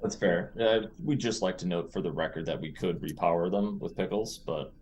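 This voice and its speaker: A man's voice: low-pitched, moderate pace, a little mellow, a little hiss or hum. A man talking casually as a player in a tabletop role-playing game.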